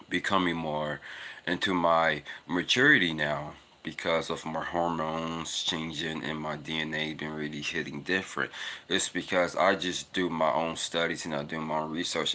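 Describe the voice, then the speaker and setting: A man in his twenties talking casually and earnestly about faith, rambling and repeating himself while out on a walk. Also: sharp tone. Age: 20s. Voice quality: naturally deep voice. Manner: rambling, earnest, repetitive "it's because". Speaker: vlogger. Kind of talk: casual spiritual monologue. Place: outdoors, walking in cold weather.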